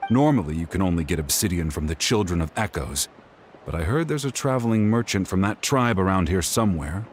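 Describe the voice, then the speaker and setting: A man's voice: lowish voice, slight background noise, natural voice. A man voicing a weary, intense tribesman in a video game, explaining things matter-of-factly. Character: defeated, intense male tribesman crafting a spirit net.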